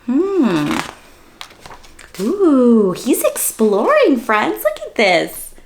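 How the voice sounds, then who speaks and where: A high-voiced woman leads story time for little kids. Her delivery swoops up and down with playful, exaggerated surprise, and she talks straight to her young listeners as she reads. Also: extremely slow, slight background noise. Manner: animated, exaggerated surprise, addressing children directly with questions. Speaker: children's story-time host and reader. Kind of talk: interactive read-aloud for young children.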